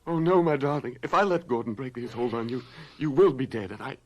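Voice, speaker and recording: A man's voice: medium pitch, fairly quick, muffled recording, crowd noise, close to an everyday voice. Radio horror role, male actor: anguished lover in denial, mid-range voice, pleading and melodramatic. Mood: desperate. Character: desperate male lover refusing to let his beloved die. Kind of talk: radio horror drama acting.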